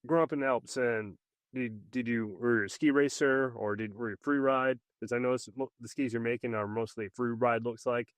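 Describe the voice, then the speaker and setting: A man hosting a podcast, asking questions in a relaxed, informal way. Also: medium pitch, brisk, no room sound. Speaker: podcast host. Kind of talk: casual interview questions.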